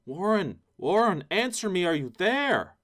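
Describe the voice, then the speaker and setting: A man voicing a frantic, horrified man calling out loudly to his friend in a horror story. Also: high voice, big pitch swings, unhurried, voice pushed up, full character voice. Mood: desperate calling. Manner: loud, frantic questioning. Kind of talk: dramatic horror story reading. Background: background music.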